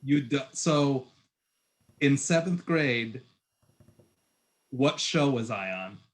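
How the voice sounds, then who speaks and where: A young man hosting a podcast, telling stories in a loose, animated way with lots of restarts. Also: medium pitch, takes it slowly. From born in Egypt, raised in Puerto Rico, Queens and Long Island. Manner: animated storytelling with frequent restarts and "like". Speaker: podcast host. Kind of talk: casual conversation.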